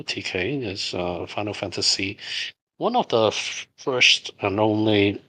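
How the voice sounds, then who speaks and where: A man's voice: on the low side, a bit bright, husky, low-quality recording. A middle-aged man from Hong Kong, a native Cantonese speaker, casually reading out and answering community questions with many fillers and restarts. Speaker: game studio founder answering community questions.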